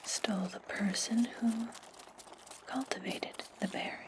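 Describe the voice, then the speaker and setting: A woman playing an imperious, scheming witch in a breathy, condescending whisper for an ASMR fantasy roleplay. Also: on the high side, steady tone, natural voice, low-quality recording. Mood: smug, scheming. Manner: slow, deliberate, condescending. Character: imperious, scheming witch giving orders to her servant.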